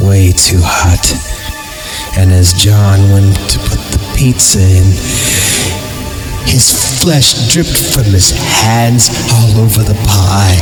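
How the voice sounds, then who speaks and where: A man hosting a horror podcast, reading stories aloud and breaking off for sarcastic, improvised jokes, with music behind him. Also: lowish voice. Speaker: podcast host and reader. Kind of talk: creepypasta reading with joking asides.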